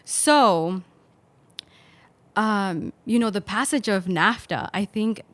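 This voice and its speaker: A woman's voice: mid-range voice, lively intonation, takes it slowly, a bit bright, no room sound. A woman from Mexico, a podcast guest, speaking thoughtfully in casual conversation with many fillers. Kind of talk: conversational storytelling.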